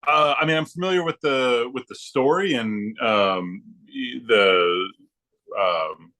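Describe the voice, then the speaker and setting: A man's voice: medium pitch, takes it slowly, bright, forceful tone. A man speaking as a podcast guest in casual chat, agreeable and thinking aloud with hesitations. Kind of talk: casual chat about comic books.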